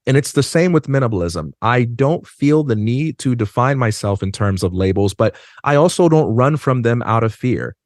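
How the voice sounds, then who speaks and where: A man's voice: mid-range voice, expressive, a little mellow, no room sound. Earnest man, dry studio sound. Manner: earnest, measured, slows down for emphasis. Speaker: podcast guest. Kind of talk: reflective conversation. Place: dark studio. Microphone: studio mic on a stand.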